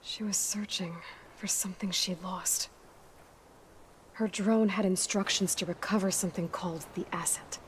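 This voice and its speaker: Actress as young female game hero, lowish voice, terse team leader, determined, some ambient noise. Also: fairly even intonation, moderate pace, natural voice. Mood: quiet, focused. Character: determined young woman hunter leading her team. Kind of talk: video game cutscene acting.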